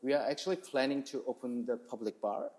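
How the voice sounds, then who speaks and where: A man's voice: medium pitch, lively intonation, a little fast, a little mellow. A man from South Korea with a Korean accent, talking casually as a chef being interviewed, in hesitant, non-native English phrasing. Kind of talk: casual interview, telling their story.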